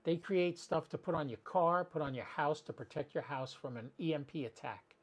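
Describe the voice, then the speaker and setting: A man's voice: fairly high pitch, normal speed, breathy edge. A man chatting casually and rambling as he hosts an amateur radio livestream, talking directly to his viewers.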